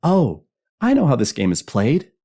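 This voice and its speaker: A man voicing a gleeful, boastful child in a spooky storybook tale, rattling off a list excitedly. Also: mid-range voice, moderate pace, slightly rough, voice pushed up, heavily acted. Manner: gleeful, boastful, breathless listing. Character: excited, know-it-all child spoiling a spooky game.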